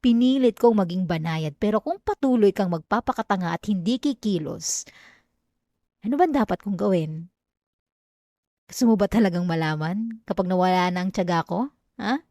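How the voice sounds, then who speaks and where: A woman's voice: mid-range voice, lively intonation, moderate pace, pauses often, warm tone, no room sound, natural voice. A young woman with a Filipino accent voicing an obsessive, possessive female classmate in an intimate, dramatic ASMR roleplay, addressing the listener up close. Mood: menacing, possessive. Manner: intimate, pleading turning coldly threatening, dramatic. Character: obsessive yandere female classmate, clingy, wounded, menacingly possessive. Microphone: close microphone, dry sound.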